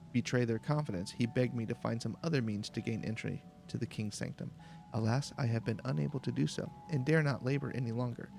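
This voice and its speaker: A man voicing a solemn, courteous fantasy game elder, speaking in formal, measured, archaic phrases. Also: mid-range voice, gravelly, darkish tone, natural voice.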